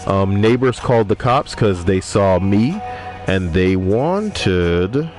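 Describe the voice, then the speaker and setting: A man's voice: fairly low pitch, animated, slow pace. A young man streaming a game, reading viewer messages aloud and joking with crude, profane banter. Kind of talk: casual gaming livestream chat. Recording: music playing behind voice.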